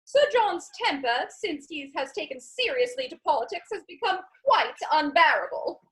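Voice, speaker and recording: Woman doing a gushy chatty society lady, high voice, very up and down, genteel, period comedy. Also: very animated, moderate pace, a bit bright. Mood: effusive, chatty. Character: effusive, chatty society lady making introductions. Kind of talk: dramatic reading of a period stage comedy.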